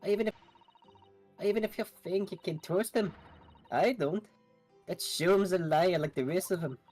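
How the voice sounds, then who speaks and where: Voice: medium pitch, normal speed, darkish tone, faint music behind, voice pushed up, natural voice. A streamer voicing a blunt, distrustful young orphan girl in colloquial speech for a video game fan-dub. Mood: scornful. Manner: colloquial, skeptical, dropped grammar.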